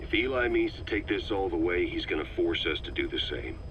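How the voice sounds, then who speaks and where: An actor playing a stern, hard-line military intelligence officer in a video game, measured, authoritative and accusing. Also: male voice, deep voice, animated, fairly bright tone. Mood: grave, measured. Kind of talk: video game audio drama acting. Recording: dull, hissy cassette tape recording.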